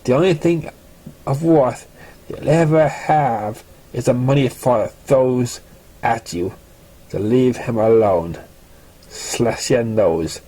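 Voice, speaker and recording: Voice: medium pitch, takes it slowly, dark tone, rough recording. A narrator voicing a brash, protective young pony who threatens and insults cockily in a storybook reading. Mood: threatening.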